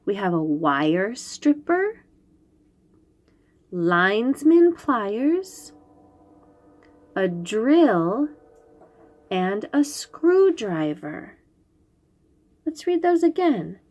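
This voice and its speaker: A woman reads aloud for small children at an unhurried pace, with a fairly high voice that swings up and down. She explains in simple words, asks questions and pronounces key words carefully, like a storytime teacher. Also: a little mellow. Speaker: host reading picture books aloud to young children.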